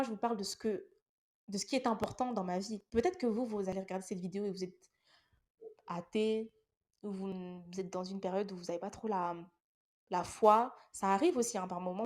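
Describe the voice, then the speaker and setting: A woman's voice: medium pitch, darkish tone. Young woman with a cold, earnest. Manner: earnest, encouraging, speaks directly to listeners. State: has a head cold. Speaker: podcast host. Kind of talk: casual faith talk podcast.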